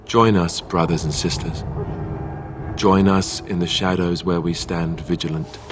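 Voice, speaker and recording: An actor playing an earnest young warden knight in a fantasy game. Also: male voice, sits low, noisy background. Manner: earnest, formal. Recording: game dialogue over loud background ambience.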